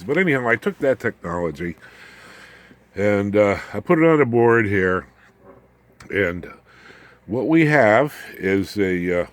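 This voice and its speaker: A man in his seventies with an American accent and a low-pitched voice talks off the cuff as a hobbyist showing his work in a home workshop. He rambles in a folksy, unscripted way. He often turns aside to the viewer with self-deprecating humour. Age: 70s. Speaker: ham radio hobbyist and video host. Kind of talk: casual unscripted workshop demonstration.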